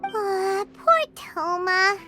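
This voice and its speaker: Squeaky, excitable little fairy companion. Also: female voice, extremely slow, faint music behind, exaggerated voice. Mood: teasing sympathy. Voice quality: squeaky, childlike. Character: tiny excitable floating fairy companion, childlike and chirpy. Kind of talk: animated video game voice acting.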